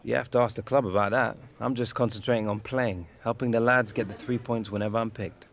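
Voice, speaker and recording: A man's voice: mid-range voice, steady tone, brisk, gravelly, lightly acted. A young man playing a composed but defiant professional footballer in a video game cutscene. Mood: guarded, composed. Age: young adult. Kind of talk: naturalistic game cutscene acting.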